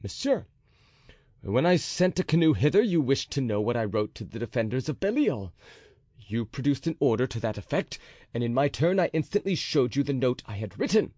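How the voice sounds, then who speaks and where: A man's voice: on the high side, big pitch swings, fairly quick, hardly any pauses, darkish tone, no echo, clean studio sound, voice pushed up. A man voicing a fiery, proud musketeer captain who threatens with raised, intense force. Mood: furious. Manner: raised, intense, menacing delivery.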